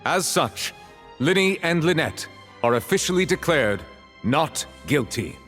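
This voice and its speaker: An actor voicing a grave, formal chief justice in a video game, speaking with measured authority. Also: male voice, fairly high pitch, big pitch swings, fairly bright tone, soft background music. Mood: solemn, explaining. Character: grave, formal male chief justice presiding over a trial. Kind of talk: dramatic video game voice acting.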